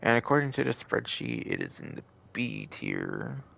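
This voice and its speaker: A man's voice: mid-range voice, huge pitch swings, moderate pace, creaky, a bit bright. A man hosting a game livestream with casual commentary, rambling and often repeating and second-guessing himself.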